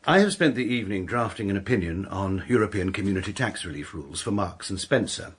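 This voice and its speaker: An English actor playing a stiff, pedantic middle-aged male barrister who narrates in a dry, clipped, deadpan manner for a radio comedy drama. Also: sits low, fast talker, slightly rough.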